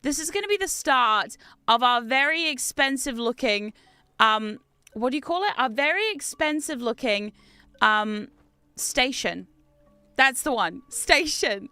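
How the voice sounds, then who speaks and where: Young Kiwi-British woman streamer, bright higher voice, dry close sound, chatty and animated. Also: a little slow, clear, resonant tone, no echo. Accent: mix of New Zealand and British. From New Zealand. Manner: chatty, animated, reads chat aloud, laughs often. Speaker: game streamer. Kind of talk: casual gaming livestream chat.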